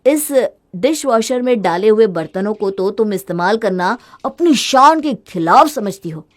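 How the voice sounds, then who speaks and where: A woman's voice: medium pitch, expressive, measured pace, natural voice. A woman voicing a young husband in a storybook drama, fed up with his wife's spending and mocking her sarcastically. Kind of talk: storybook voice acting.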